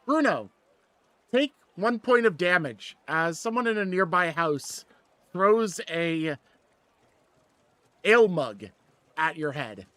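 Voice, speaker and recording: A man's voice: a little high, very animated, slow, long pauses, sharp tone, no room sound. A man speaking informally as game master, narrating the scene and teasing the players in casual banter.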